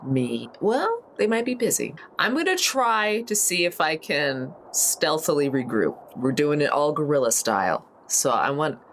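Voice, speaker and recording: A woman with a fairly low, very animated voice plays a tabletop roleplaying game. She talks through her choices aloud in a casual, playful way. There is faint room noise behind her. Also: unhurried, hardly any pauses.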